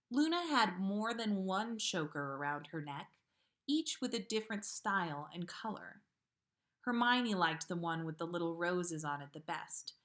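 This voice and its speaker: A woman narrates a story for a livestream audience. Her pace is slow and measured, with pauses between phrases, and her voice sits at an ordinary pitch for a woman. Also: fairly bright tone. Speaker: podcast host reading listener fan fiction. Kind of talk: story read aloud on a livestream.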